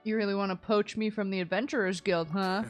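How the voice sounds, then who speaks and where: A woman's voice: medium pitch, steady tone, brisk, soft background music. A woman livestreaming a game, chatting casually in a playful, giggly, teasing way. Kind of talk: casual chat while playing.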